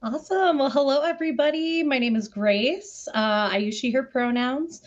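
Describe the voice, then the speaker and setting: A woman's voice: high voice, moderate pace. Enthusiastic woman on dull lo-fi audio. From Southern California. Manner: informal, enthusiastic. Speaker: panel guest, performer and producer. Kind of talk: casual chat on an online panel.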